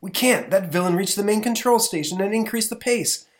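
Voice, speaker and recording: A man voicing a panicked middle-aged professor in a comic book read-aloud, shrill and shouting. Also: fairly quick, close to an everyday voice. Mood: frantic.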